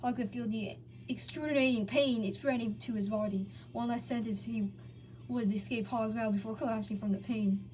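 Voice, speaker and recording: A woman with a fairly high voice narrates a dramatic fan story. She reads aloud with occasional stumbles and self-corrections, and there is clear noise on the recording. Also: steady tone, normal speed, dark tone, rough recording. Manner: stumbles over words and corrects herself. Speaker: story reader. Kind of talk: dramatic reading of fan fiction.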